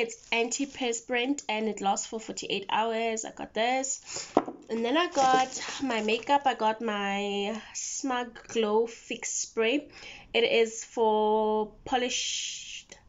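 High-voiced young South African woman, chatty. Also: slight background noise. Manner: chatty, informal, slangy. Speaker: vlogger. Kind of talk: casual chat to camera. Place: mostly a bedroom at home. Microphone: phone camera at arm's length. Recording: dull lo-fi phone audio.

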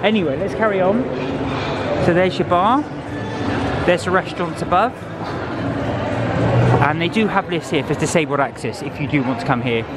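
A man's voice: fairly high pitch, normal speed, pauses often. A man giving a casual walking tour commentary as a travel vlogger, speaking from behind the camera. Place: large busy glass-walled indoor viewing garden. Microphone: handheld camera mic, held by speaker. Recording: loud ambient noise throughout.

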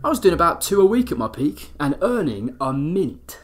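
An actor playing a rough, cocky young bare-knuckle fighter, telling his story in chatty, matey slang. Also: a little high, big pitch swings, normal speed. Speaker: actor in an audio drama. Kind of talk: chatty first-person dramatic storytelling.

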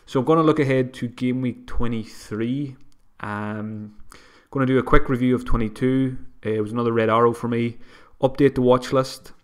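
A man's voice: medium pitch, steady tone, normal speed, clean tone. A man hosting a solo podcast, talking casually and a bit sniffly from a cold, with frequent restarts and self-deprecating asides. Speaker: podcast host. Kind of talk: solo fantasy football podcast.

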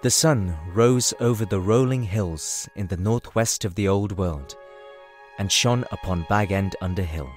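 Male narrator for a fantasy audio drama, lower register, scripted read over faint music. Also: measured pace.

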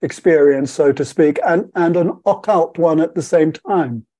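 An older man interviewing on a video call from a room at home, speaking in eager, quick bursts with repeated words. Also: on the high side, warm tone. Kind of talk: conversational interview.

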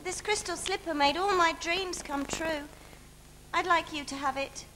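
Sweet young pantomime heroine: very high bright voice, affectionate, noisy theatre. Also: female voice, normal speed. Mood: tender. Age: young adult. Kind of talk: theatrical stage acting. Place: large theatre stage. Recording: noisy theatre stage recording.

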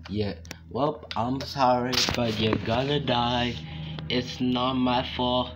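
A man voicing a sinister female animatronic chicken mascot, speaking slowly and stuttering on his threats. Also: mid-range voice, level tone, loud music behind the voice, natural voice. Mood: taunting. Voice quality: stutters on words, drawn out. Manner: slow, taunting delivery. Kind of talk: fan-made game character voice lines. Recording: loud keyboard typing and clicks behind. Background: keyboard typing and clicks.

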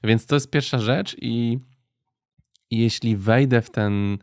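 A man's voice: medium pitch, takes it slowly, a little mellow, no echo, clean studio sound. A man hosting a podcast, talking casually and colloquially while searching for his words. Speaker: podcast host. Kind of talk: casual interview chat.

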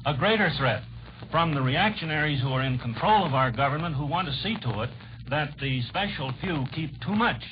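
Higher-pitched American man debating on old radio. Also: animated, moderate pace. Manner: earnest, argumentative. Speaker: debate panelist. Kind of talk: 1940s radio political debate. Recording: noisy, muffled 1940s radio recording.

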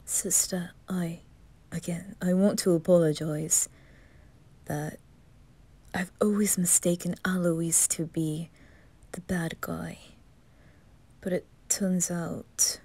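Woman as a slow, low-voiced proud young brother. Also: steady tone, mellow, slightly rough, exaggerated voice. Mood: apologetic, relieved. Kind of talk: ASMR fan roleplay.

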